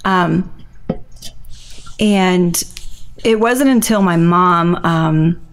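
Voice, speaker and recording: A woman from New York sharing her faith story as an interview guest, hesitant and full of pauses. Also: lowish voice. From New York, USA. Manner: hesitant, with frequent ums and pauses.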